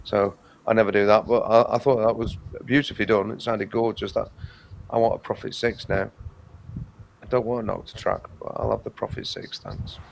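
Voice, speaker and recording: A male guest with a croaky voice chatting casually and making wry asides. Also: on the low side, steady tone, a little fast, slight background noise. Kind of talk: casual chat.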